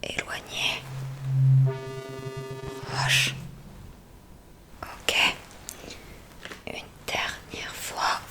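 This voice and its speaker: A woman whispering softly and breathily in an ASMR roleplay as a gentle, reassuring hearing examiner. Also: very slow, pauses often, loud music behind the voice, rough recording.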